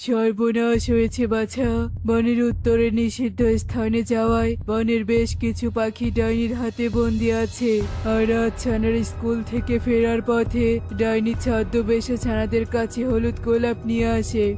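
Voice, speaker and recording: A woman's voice: on the high side, completely flat delivery, normal speed, dark tone, faint music behind, lightly acted. A woman voicing a grave, solemn holy mystic who gives counsel in a children's cartoon. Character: grave holy mystic advising forest birds.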